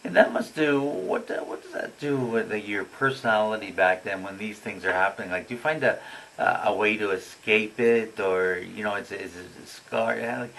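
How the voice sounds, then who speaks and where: A man hosting a casual interview in a halting way, repeating and rephrasing his questions. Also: on the low side, vocal fry, a bit bright, rough recording. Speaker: interviewer and host.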